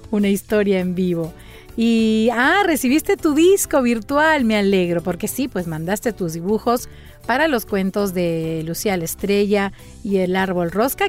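A woman who hosts a children's storytelling show, chatting playfully and reading out messages from young listeners. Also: a little high. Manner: warm, playful and animated, reading out fan messages. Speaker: children's storyteller and channel host. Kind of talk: friendly chat to young listeners.

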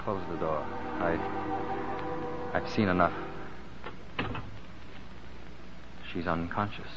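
Paul, insistent low-voiced radio suitor. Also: male voice, fairly even intonation, takes it slowly. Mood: subdued. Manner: earnest, insistent, dramatic. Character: Paul, smooth-talking, possessive romantic suitor. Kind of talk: 1940s radio drama acting. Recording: dull 1940s radio recording, music behind.

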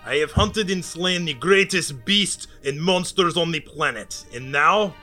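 A boastful big-game hunter villain, acted by a man at a script table read. His high voice is forceful and menacing, speaking slowly and grandly over faint music.

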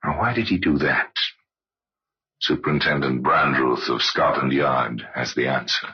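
A man narrating old-time radio crime tales as a grave, sardonic storyteller in a measured, wry, dramatic way. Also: extremely low pitch, slightly rough, full character voice. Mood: grave, suspenseful. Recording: dull, noisy old radio recording.

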